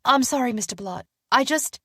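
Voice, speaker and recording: Woman acting a high, peppy girlish young witch. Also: lively intonation, a little slow, a bit bright, no room sound, studio quality. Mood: apologetic. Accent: British. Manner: bubbly, self-deprecating, sassy.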